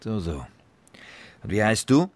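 An actor playing a commanding, sardonic police sergeant interrogating suspects in a radio crime drama. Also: male voice, lowish voice, sing-song, unhurried, darkish tone. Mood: stern, sarcastic.

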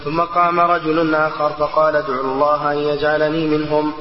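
A man's voice: on the high side, monotone, fairly quick. A man reading a religious text aloud in a recitational manner, as a student reader would. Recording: muffled, very echoey hall.

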